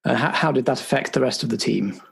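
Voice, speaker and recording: A man's voice: medium pitch, quick pace, creaky, slightly rough. A man playing a stern, bureaucratic spy boss chairing a debriefing in an improvised audio roleplay. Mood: probing, formal. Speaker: game master.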